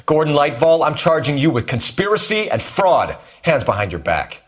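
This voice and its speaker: An actor playing an earnest, authoritative young American detective and veteran in a video game, speaking in a clipped manner. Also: male voice, on the high side, sing-song, normal speed, faint music behind. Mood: stern.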